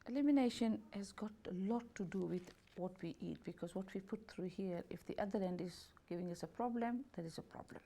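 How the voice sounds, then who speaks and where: A woman with a gravelly, mellow voice reads a questionnaire aloud. She takes each lettered option in turn at an unhurried pace. Her grammar is slightly off now and then, like a second-language speaker. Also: mid-range voice, slight background noise. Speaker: holistic health and beauty therapist. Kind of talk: reading out a questionnaire.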